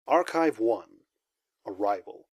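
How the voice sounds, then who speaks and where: A man narrating the scripted prologue of an audio drama in a solemn, formal, measured way. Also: on the low side, animated, darkish tone, no room sound, clean studio sound.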